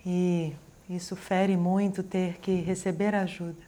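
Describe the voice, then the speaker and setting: Flat-toned woman interpreting steadily. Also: on the low side, unhurried. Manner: even, steady, unemotional delivery. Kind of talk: consecutive interpreting.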